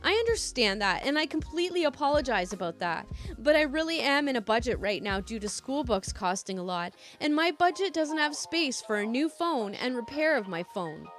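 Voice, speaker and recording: Young cyclist in a read-aloud story, played by a woman: earnest, exasperated, lively high voice. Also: normal speed, few pauses, soft background music. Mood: apologetic. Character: young male student cyclist, apologetic but exasperated.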